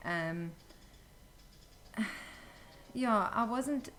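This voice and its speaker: A woman's voice: on the high side, lively intonation, drawn-out words, fairly bright tone. A young woman telling a personal story as an interview guest, casually and candidly, with some hesitation. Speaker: interview guest, new mother.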